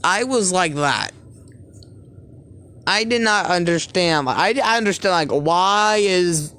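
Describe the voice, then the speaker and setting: A woman speaking at an unhurried, drawn-out pace in a somewhat low voice. She rambles through casual commentary on a cartoon, restarting her sentences and leaning on "like". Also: fairly bright tone. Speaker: video commentator.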